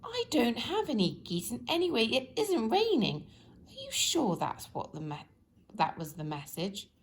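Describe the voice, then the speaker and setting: A woman voicing a male village farmer who talks slowly, puzzled and disbelieving. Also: fairly high pitch, wildly swooping pitch, a little hiss or hum, exaggerated voice. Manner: slow, puzzled, questioning.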